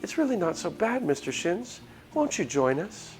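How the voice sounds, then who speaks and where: A man voicing a young woman in a visual novel game, a sweetly eerie cult recruiter with a soft, pleading manner. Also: sits high, sing-song, moderate pace, soft background music, pitched above the performer's own voice. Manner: soft, pleading, coaxing delivery.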